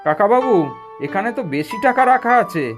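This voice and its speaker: A man's voice: high-pitched, expressive, a little fast. Guy playing kind village eatery owner in a cartoon, really swingy earnest delivery, faint music behind. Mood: respectful. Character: kind, dutiful village food-hotel owner, a man. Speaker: cartoon voice actor. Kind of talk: Bengali cartoon dubbing.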